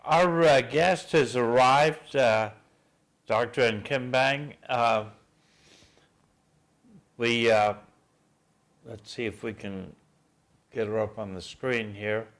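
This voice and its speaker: A man acting as host at a community event, introducing the guest in a loose, unscripted way. His speech keeps pausing on "uh" between phrases, broken up by dry little asides. His voice sits at an ordinary middle pitch for a man. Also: a bit bright. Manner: halting, full of "uh" fillers, wry asides. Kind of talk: informal spoken introduction at a community gathering.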